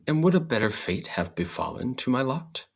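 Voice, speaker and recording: A man's voice: medium pitch, very animated, moderate pace, gravelly, slightly rough, pitched above the performer's own voice, exaggerated voice. A man voicing a talking straw, an eager, plaintive little storybook character with formal, old-fashioned phrasing.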